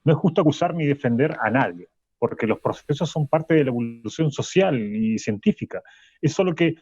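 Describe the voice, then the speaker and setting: Mellow, husky, reflective professor. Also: male voice, mid-range voice, dry recording, highs cut off. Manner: halting, searching for words. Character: male university professor, reflective, earnest, wry radio guest. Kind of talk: radio drama interview.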